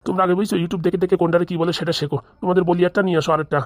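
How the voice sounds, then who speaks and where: Blunt, chatty comedy-cartoon village uncle, acted by a woman: rapid, slightly low, dry recording. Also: steady tone, no room sound, lightly acted. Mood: needling, blunt. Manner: chatty, needling, colloquial.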